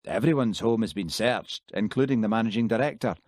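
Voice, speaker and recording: A man playing a brisk, bossy police detective with a blunt, dismissive manner. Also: lowish voice, darkish tone, no echo, clean studio sound, close to an everyday voice.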